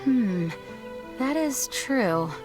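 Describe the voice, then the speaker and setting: A woman's voice: fairly high pitch, animated, drawn-out words. An actress playing a dutiful female knight commander in a game, formal and composed. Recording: game audio with music.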